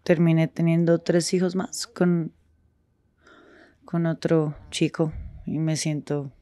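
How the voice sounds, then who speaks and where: Frank, halting woman in a dry recording. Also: low-pitched, little pitch movement, dark tone, no echo. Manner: halting, frank, with pauses and restarts. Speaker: interviewee. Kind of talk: candid street interview.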